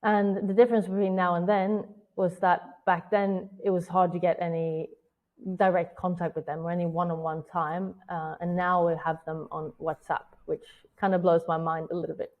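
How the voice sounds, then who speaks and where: A woman's voice: lowish voice, level tone, normal speed, phone-quality sound. A woman in her thirties from Sweden with a Swedish accent, giving an earnest, scripted webinar talk as a virtual event strategist. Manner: earnest, personal storytelling.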